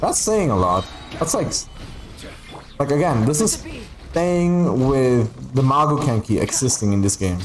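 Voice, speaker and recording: A man's voice: mid-range voice, lively intonation, measured pace, soft background music. A man whose first language is Spanish, giving casual, rambling gaming livestream commentary with playful, sweary grumbling. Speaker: game streamer.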